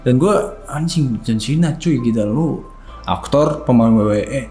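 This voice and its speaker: A man's voice: medium pitch, measured pace, dark tone. A man in his twenties with a Jakartan Indonesian accent chats casually in slang, repeating words for emphasis. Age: 20s. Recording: echoey room with music underneath.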